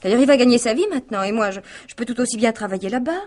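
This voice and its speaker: Eager young niece with a fairly high voice played by an actress. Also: female voice, expressive, very fast, a little mellow, natural voice. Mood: pleading, earnest. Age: young adult. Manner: earnest, eager, tender. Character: dreamy, eager nineteen-year-old niece, affectionate and determined. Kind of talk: French radio mystery drama acting.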